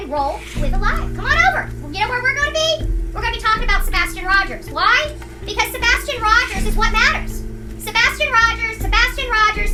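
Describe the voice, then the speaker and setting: A woman livestream host delivers an impassioned rant in an extremely high voice. She is confrontational and emphatic, hammering points through repetition. She sounds set back from the microphone, with music clearly playing behind her. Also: distant mic. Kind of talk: impassioned live rant to an online audience.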